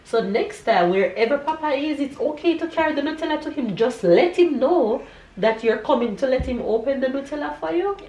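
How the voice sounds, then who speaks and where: A woman's voice: sits high, animated, a little fast, darkish tone. A Jamaican woman in her thirties with a stuffy nose, chatting casually to her camera and her kids. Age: 30s. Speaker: family vlogger. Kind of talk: casual family vlog. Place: home kitchen. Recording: echoey home room with noise.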